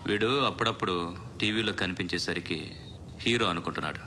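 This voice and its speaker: A man's voice: low-pitched, quick pace, bright, forceful tone, slight background noise. A man playing a menacing gang henchman in a film, scornful and accusing. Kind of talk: film dialogue. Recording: film music behind dialogue.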